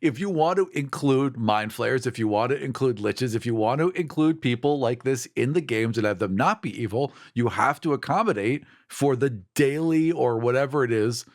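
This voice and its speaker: A man's voice: mid-range voice, expressive, normal speed, few pauses. A man talking casually and animatedly in a podcast discussion, acting out imagined quotes as he goes.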